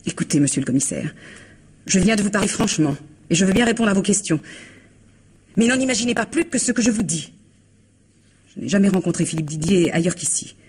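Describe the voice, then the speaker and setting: An actress playing a tartly defiant widowed bar owner in a French radio mystery, measured and evasive. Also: female voice, mid-range voice, animated, darkish tone, breathy edge. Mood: defensive. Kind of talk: 1950s-style French radio mystery acting.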